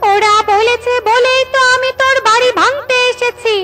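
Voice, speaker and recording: A woman's voice: very high voice, fairly even intonation, moderate pace. A woman voicing a stern, scolding witch who threatens others, in a children's cartoon, with music behind her. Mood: angry threat. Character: scolding good witch punishing lazy birds.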